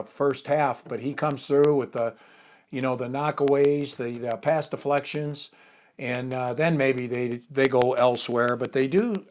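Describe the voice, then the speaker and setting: Middle-aged male sports host, chatty and loose, lots of "uh"s and restarts, mid-pitched voice. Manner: chatty, many fillers and restarts. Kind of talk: casual sports talk and interview.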